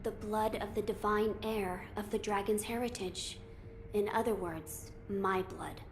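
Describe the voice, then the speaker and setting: A woman's voice: medium pitch, fairly even intonation, unhurried, slight background noise, natural voice. A woman voicing an earnest young boy lord in a video game, formal and thoughtful. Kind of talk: video game cutscene acting.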